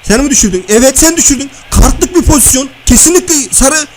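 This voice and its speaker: A man playing a loud, crude, bickering comedy everyman who nags in slangy repeats during cartoon-style video-game banter. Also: very high-pitched, animated, fairly quick, slight background noise, rough recording. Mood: loud, outraged. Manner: slangy, blustering, nagging, repeats phrases. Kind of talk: comic video-game banter and match commentary.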